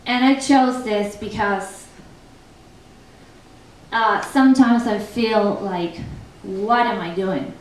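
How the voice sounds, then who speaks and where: A woman's voice: medium pitch, steady tone, slow pace, pauses often. A young woman from Argentina with a thick Argentinian Spanish accent, a native Spanish speaker talking casually on a translation panel and reading a poem aloud, with many ums and restarts. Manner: chatty, frequent ums and restarts. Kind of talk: panel talk with poetry reading. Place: festival event room. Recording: distant echoey room, faint noise.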